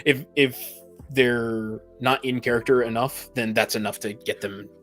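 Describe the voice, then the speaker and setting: A man's voice: mid-range voice, steady tone, measured pace, a bit bright, soft background music. A man co-hosting a casual podcast discussion with a wry, joking way of talking. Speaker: podcast co-host. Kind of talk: casual discussion of tabletop roleplaying.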